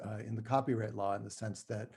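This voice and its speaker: A man speaking as an interview guest, explaining things thoughtfully and choosing his words with halting care. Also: fairly low pitch, steady tone, creaky, darkish tone, husky. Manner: halting, careful with word choice. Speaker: playwright and screenwriter guest.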